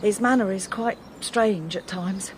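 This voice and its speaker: A woman's voice: mid-range voice, slight background noise, lightly acted. A woman playing a scheming, politely insinuating middle-aged mother-in-law in a radio drama. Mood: feigned concern. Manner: genteel, deliberate, insinuating. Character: scheming older mother-in-law, outwardly polite, quietly sly.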